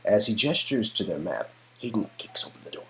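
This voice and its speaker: A man reading expressive second-person narration for an interactive story game. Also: lowish voice, brisk, gravelly, rough recording. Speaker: narrator and player character reader.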